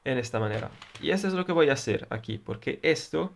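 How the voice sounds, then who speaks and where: Man, Italian accent, native Italian, electronics tutor, gravelly, deliberate and halting. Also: mid-range voice, expressive. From Italy. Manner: deliberate, halting, many fillers. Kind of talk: explaining circuits at a whiteboard.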